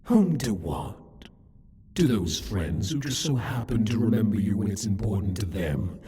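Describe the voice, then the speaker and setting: Male actor as demon king, ASMR roleplay: low-pitched, very breathy, haughty, possessive, mocking, savoring each deliberate line. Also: expressive, warm tone. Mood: cruel taunting. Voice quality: breathy, husky. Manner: taunting, condescending, deliberate, theatrical. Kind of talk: ASMR roleplay, addressed to the listener.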